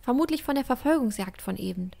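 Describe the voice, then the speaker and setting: A bold young girl explorer, acted by a woman in a fantasy audio play. Her voice sits fairly high with a noticeable creak, and she sounds earnest, bookish and matter-of-fact. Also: expressive, quick pace, a little mellow. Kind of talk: German fantasy audio drama acting.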